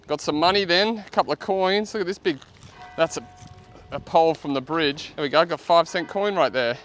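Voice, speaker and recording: High-voiced, excitable Australian man outdoors. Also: a little fast. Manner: excitable, exclaiming. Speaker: host of a magnet fishing vlog. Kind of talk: casual outdoor vlog chat. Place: outdoors beside a canal. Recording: outdoor noise, traffic and ambience.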